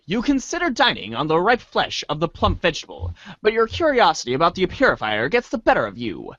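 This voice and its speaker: High-pitched, animated man reading a story. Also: fairly quick, dry recording, lo-fi sound. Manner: theatrical, stumbles over words and rereads them. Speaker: voice reader in a webcomic reader's theater. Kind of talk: dramatic reading of narration from a script.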